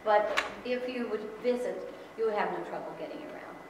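A middle-aged woman from the Philippines talks informally to a Sunday school class, chatty and given to laughing, restarting her phrases as she goes. She is picked up by a distant camera in a large church sanctuary, so her voice rings with long reverb. Steady background noise sits under her. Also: medium pitch, brisk. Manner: chatty, laughs often, self-correcting. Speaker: guest speaker. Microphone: far camera. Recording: echoey church hall from far off.

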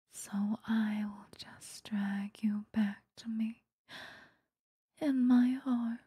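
A woman voicing an obsessive, sweetly menacing vampire lover in a breathy, whispered ASMR roleplay, close to the microphone. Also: medium pitch, mellow, dry recording. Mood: softly threatening. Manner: slow, intimate, coaxing, threatening. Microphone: close microphone, dry.

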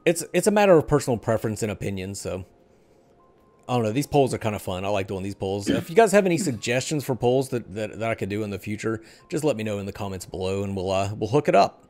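A man's voice: fairly low pitch, expressive, quick pace, a little mellow, faint music behind. A middle-aged man hosting a gaming podcast in an informal, conversational way, talking into a headset indoors. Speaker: show host. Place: indoor room at a desk.

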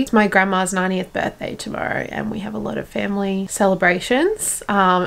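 A young Australian woman talks straight into a camera held at arm's length in a small room at home. Her voice sits at an ordinary middle pitch with plenty of vocal fry. She rambles in a relaxed, chatty way, full of little fillers. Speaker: vlogger. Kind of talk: casual vlog chat to camera.

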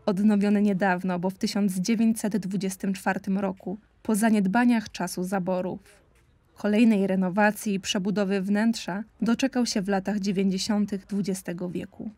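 A woman delivers scripted documentary narration. Her voice is mellow and relaxed, at a middle pitch. The sound is dry, with no room around it. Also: moderate pace, dry recording.

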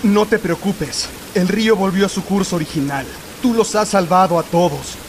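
A man with a Latin American accent playing a noble, calm and earnest young warrior mentor in an anime fan dub. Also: sits high, moderate pace, a little hiss or hum. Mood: calm, solemn.

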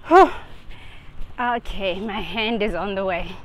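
A young woman in her twenties, chatting slowly and a little out of breath as she walks outdoors and talks to her viewers through an earphone mic. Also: medium pitch, slight background noise. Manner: chatty, slow, laughing, out of breath from walking uphill. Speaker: vlogger. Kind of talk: casual walking vlog. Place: suburban street outdoors. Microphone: earphone mic, phone at arm's length.

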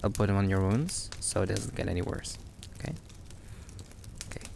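A man playing a sweet, protective young boyfriend in an intimate audio roleplay, talking haltingly and reassuringly straight to his partner. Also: deep voice, lively intonation, creaky, background noise. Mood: tender, reassuring.

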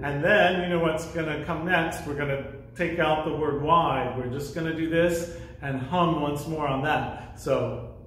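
A man's voice: on the high side, steady tone, a little slow, fairly bright tone. An American man in his fifties explaining things simply and encouragingly, as a music leader guiding children through a sing-along. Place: church music classroom with a piano. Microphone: camera microphone a few feet away. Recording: very echoey room, camera-distance sound.

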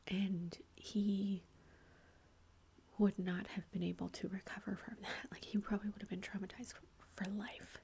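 A woman's voice: mid-range voice, flat delivery, moderate pace, creaky, mellow, breathy edge. A woman in her thirties whispers a long personal story in a chatty way, with many fillers. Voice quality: whispering throughout. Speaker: vlogger.

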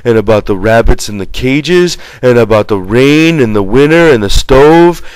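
A man voicing a simple, childlike, eager ranch hand in an audiobook, with drawn-out, ungrammatical rural speech. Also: mid-range voice, animated, darkish tone, clean tone, dry recording, natural voice, poor audio. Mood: delighted, eager.

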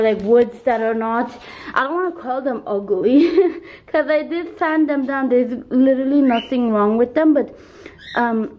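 A woman's voice: fairly high pitch, a little mellow. A congested woman hosting a home craft video, rambling with many ums and self-corrections as she shows her work off camera. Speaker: craft channel host. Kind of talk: casual show-and-tell narration. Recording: dull lo-fi sound, faint noise.

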